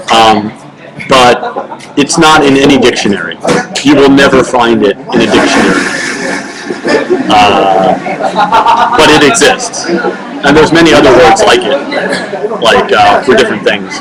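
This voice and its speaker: A young man at mid pitch chats loosely on a live webcam stream, restarting sentences and leaning on filler words. A loud crowd din sits behind his voice. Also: poor audio. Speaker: livestream host answering viewer questions. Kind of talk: casual chat. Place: home webcam livestream.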